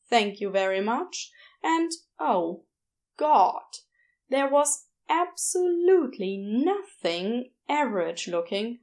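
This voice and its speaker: A woman reading fiction as an audiobook narrator, expressive, with wry, deliberate emphasis. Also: a little high.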